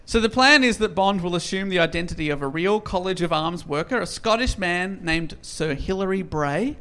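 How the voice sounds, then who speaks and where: A man's voice: high-pitched, normal speed, pushed and bright, smooth. A man hosting a casual podcast, recapping a story with jokes and frequent laughter. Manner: jokey, laughs while telling. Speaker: podcast host recapping a novel.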